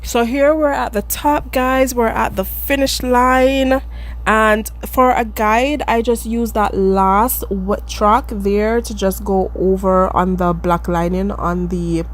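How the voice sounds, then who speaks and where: A woman's voice: medium pitch, steady tone, slow pace. A woman from the Caribbean with a stuffy, congested nose from allergies, talking viewers through a wig-making tutorial in a chatty, self-deprecating way. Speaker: beauty vlogger.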